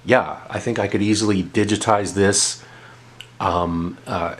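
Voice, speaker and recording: A man's voice: lowish voice, fairly even intonation, measured pace, breathy edge. A man hosting a gadget review, talking casually and unscripted, explaining things and often backtracking or repeating himself. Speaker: host of a gadget review channel. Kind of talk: casual, unscripted product demonstration.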